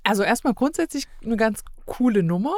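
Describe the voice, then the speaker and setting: A woman's voice: on the high side, lively intonation, a little fast, darkish tone, dry recording, clean studio sound. A woman as a podcast guest, chatting casually and colloquially through a review, often agreeing.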